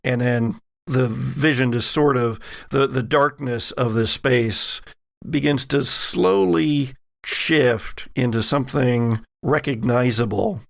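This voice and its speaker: Slow, dramatic man narrating, dry sound. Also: mid-range voice, creaky, no room sound. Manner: deliberate, dramatic storytelling in short phrases. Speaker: game master and podcast host. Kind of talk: narrating a tabletop role-playing game.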